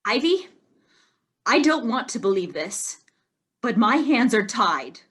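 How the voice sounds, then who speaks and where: A woman acting as an earnest amateur detective in an audio drama, stern and confrontational, with a husky voice. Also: fairly high pitch, very animated, slow pace, fairly bright tone, exaggerated voice. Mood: shaken, regretful.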